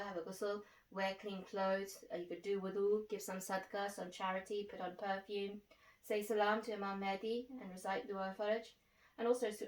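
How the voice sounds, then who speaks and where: A woman's voice: mid-range voice, darkish tone. Echoey, distant woman teaching children. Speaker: host and teacher of a children's religious programme. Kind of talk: instructional talk addressed to children. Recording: roomy echo, distant microphone.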